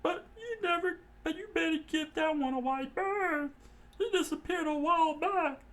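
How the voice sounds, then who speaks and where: Male reader doing panicky pirate sidekicks for a manga read-aloud: strained, high, yelping. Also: normal speed, a bit bright. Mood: panicked.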